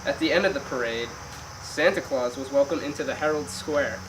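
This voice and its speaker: A man's voice: mid-range voice, brisk. A young man reading a scripted amateur radio news report as the announcer. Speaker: news announcer in a student project. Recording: distant mic, clear background hiss.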